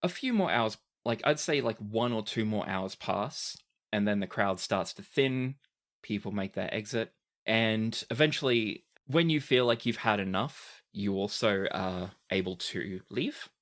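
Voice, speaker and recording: A game master with a mid-pitched voice tells a tabletop fantasy story. The delivery is deliberate and descriptive, setting out scenes piece by piece. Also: expressive.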